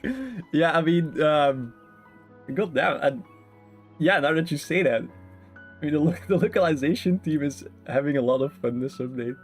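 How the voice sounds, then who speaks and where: A man's voice: a little high, moderate pace. A man streaming a game with casual, rambling, joking and giggly commentary. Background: game music.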